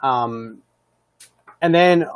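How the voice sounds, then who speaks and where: Male host, mid-pitched, encouraging interviewer, dry close sound. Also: very slow, smooth, no room sound, dull audio. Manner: encouraging, inquisitive, frequent affirmations. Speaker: live stream host and interviewer. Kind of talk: casual interview questions.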